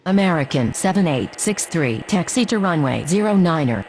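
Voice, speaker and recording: Composed, very low-pitched radio woman. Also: expressive, moderate pace, a little mellow, slight background noise. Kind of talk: clipped radio phraseology.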